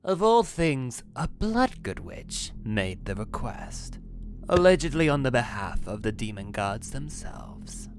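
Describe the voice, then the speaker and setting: A man playing a sly, taunting demon messenger in an animated roleplay, with a teasing, sarcastic drawl. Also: mid-range voice, slow, faint music behind. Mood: dryly taunting. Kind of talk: Minecraft roleplay voice acting.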